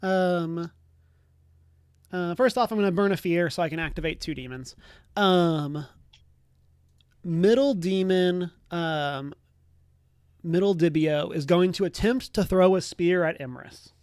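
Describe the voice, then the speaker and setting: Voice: medium pitch, measured pace, long pauses, darkish tone, clear, resonant tone, no room sound. Playful game master, dry close sound. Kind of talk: casual tabletop game play.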